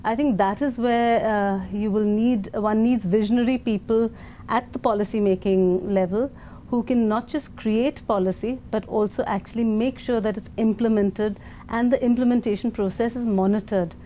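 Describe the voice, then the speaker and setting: A woman's voice: a little high, steady tone, moderate pace, mellow, a little hiss or hum. An Indian woman leading an education foundation, answering interview questions thoughtfully with fillers and restarts.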